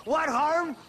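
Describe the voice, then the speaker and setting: An actor playing a swaggering Mexican bandit leader in a game cutscene, taunting and chuckling with drawn-out menace. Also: male voice, very high voice, bright, forceful tone, a little hiss or hum, full character voice. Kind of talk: video game cutscene acting.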